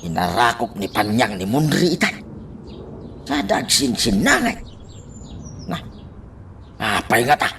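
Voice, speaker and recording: A nosy radio-drama busybody with a mid-pitched voice that swings widely. He mutters and exclaims to himself at an unhurried pace, with faint background noise of animals and birds. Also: sing-song, a bit bright. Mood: suspicious, puzzled. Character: nosy muttering man spying and commenting on others. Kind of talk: Ilocano radio drama acting.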